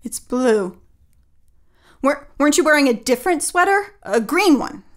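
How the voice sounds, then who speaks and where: Actress doing confused anxious lady in audio drama, high voice, halting and repeating stuff, really animated pitch. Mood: uneasy, confused. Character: Laura, disoriented, increasingly panicked woman.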